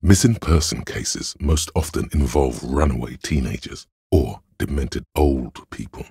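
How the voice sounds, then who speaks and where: Very deep, gravelly inner voice of logic. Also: male voice, dark tone, no echo, full character voice. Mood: analytical, dry. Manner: measured, analytical, dry. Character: cold, dry inner voice of a detective's reasoning. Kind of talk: scripted video game voice acting, second-person narration.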